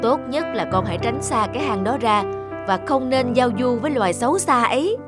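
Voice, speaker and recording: A man's voice: extremely high pitch, expressive, fairly quick, over loud music. A man playing a stern, sceptical father in a cartoon, firm and admonishing. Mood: stern, dismissive. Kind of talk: Vietnamese cartoon voice acting.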